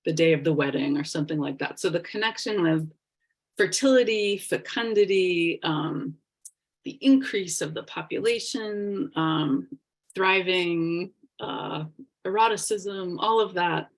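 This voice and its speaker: Woman lecturer, low voice, on a video call, thoughtful and hesitant with lots of fillers. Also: measured pace. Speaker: presenter. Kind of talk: online class presentation.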